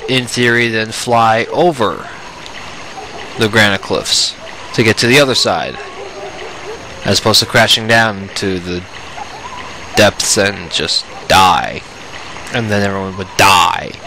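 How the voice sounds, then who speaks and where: A man's voice: fairly low pitch, takes it slowly, long pauses, bright, forceful tone, a little hiss or hum, poor audio. A man giving casual let's play commentary, rambling and often restarting his phrases, with game music playing behind him.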